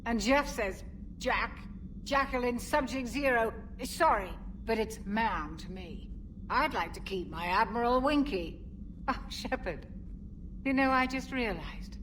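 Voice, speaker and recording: An actress playing an older female officer, fond, formal and gently wry, in a video game. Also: fairly high pitch, measured pace, slight background noise. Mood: amused.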